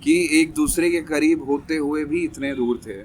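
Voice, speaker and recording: A man talking casually as a guest, reflective, with frequent pauses and English phrases. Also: fairly high pitch, steady tone, a little hiss or hum. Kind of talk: casual conversation.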